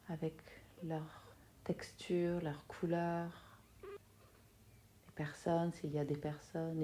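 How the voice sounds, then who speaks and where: A woman with a low-pitched, mellow voice guides a relaxation practice. She speaks very slowly and softly, in short phrases with long pauses. Her manner is soothing throughout. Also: slight background noise. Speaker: yoga nidra teacher guiding a relaxation. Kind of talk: guided meditation.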